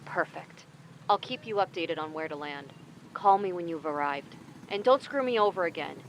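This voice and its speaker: A woman's voice: fairly low pitch, lively intonation, moderate pace, slight background noise, low-quality recording. A woman acting as a menacing underworld dealer in an audio drama, dry, businesslike and disdainful. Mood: commanding.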